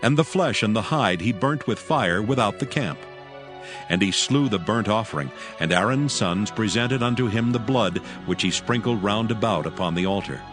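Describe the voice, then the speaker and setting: A man narrating scripture from a script in a measured, formal manner. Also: on the low side, animated. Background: music.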